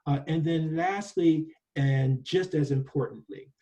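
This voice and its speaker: A man's voice: fairly high pitch, a little slow, dark tone. A man giving a prevention talk with slides, earnest and emphatic, checking in with "right?" and restarting phrases. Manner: presents data, restarts phrases. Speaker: prevention trainer presenting data. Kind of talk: presentation with slides.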